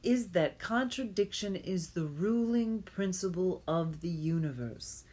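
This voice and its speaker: Woman w a slightly low voice reading some scholarly text out loud, kinda halting, redoes phrases. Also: animated. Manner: halting, often repeats phrases to correct herself. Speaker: reader. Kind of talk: amateur reading aloud of an academic text.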